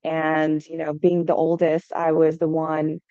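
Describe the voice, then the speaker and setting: A woman's voice: low-pitched, completely flat delivery, a little slow, dark tone, no echo. A young adult woman from Hawaii, a startup founder answering podcast interview questions in a conversational way, often saying "um" and "right?". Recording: dull lo-fi sound.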